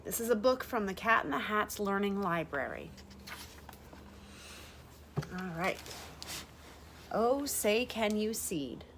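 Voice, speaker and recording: A woman in her thirties reading a rhyming children's picture book aloud, with deliberate, expressive phrasing for young listeners. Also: mid-range voice, a little hiss or hum. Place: bright sunroom with large windows, indoors.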